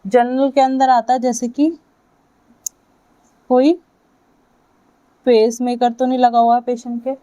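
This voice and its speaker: A woman with an Indian accent giving a lecture to students, speaking in short halting phrases and checking in with her listeners. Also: a little high, little pitch movement, dark tone. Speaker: physiotherapy lecturer.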